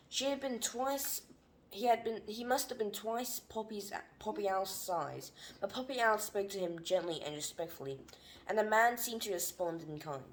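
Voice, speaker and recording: A woman from Vietnam reading a book aloud at home, as a mother does for a bedtime story. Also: medium pitch. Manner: corrects and prompts a young reader. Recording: noisy home recording with clicks.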